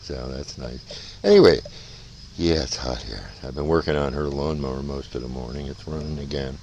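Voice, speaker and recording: Male vlogger, very deep voice, easygoing unscripted chat, clear background noise outdoors. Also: moderate pace. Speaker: vlogger showing farm life. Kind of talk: casual rambling outdoor chat. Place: outdoors on a farm and riding in a vehicle. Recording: noisy outdoor recording, vehicle and insects.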